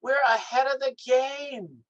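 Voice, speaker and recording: A man from Hawaii with a naturally high voice, giving an emphatic workshop talk over a video call and checking in with "right?". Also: slow, lo-fi sound. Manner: lively, emphatic, asks "right?" often. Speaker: health equity trainer. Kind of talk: workshop presentation.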